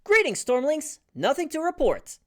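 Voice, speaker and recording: A chipper video game gatekeeper guard, acted out by a woman, pitched high with a bouncy swing. The greeting is dutiful and upbeat, delivered like a routine report. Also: big pitch swings, normal speed. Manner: bright salute-like greeting, formulaic reporting.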